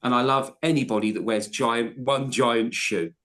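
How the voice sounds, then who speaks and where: A man's voice: mid-range voice, normal speed, fairly bright tone. A man co-hosting a casual football podcast, talking passionately in long rambling runs full of restarts. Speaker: podcast co-host.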